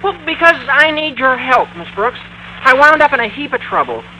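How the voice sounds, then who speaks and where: A squawky, high-pitched teenage schoolboy. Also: male voice, sing-song, normal speed, pushed and bright, heavily acted. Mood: sheepish, eager. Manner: gushing, stammering, eager. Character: excitable, scheming teenage high school boy. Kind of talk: old-time radio sitcom acting. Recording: faintly noisy vintage radio recording.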